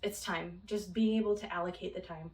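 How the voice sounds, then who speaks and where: A woman's voice: lowish voice, fairly even intonation, brisk, distant mic. A young woman from Minnesota chatting casually in a vlog, rambling with many ums and small laughs. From Minnesota, United States. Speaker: vlogger. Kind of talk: casual chat. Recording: roomy, from off the mic.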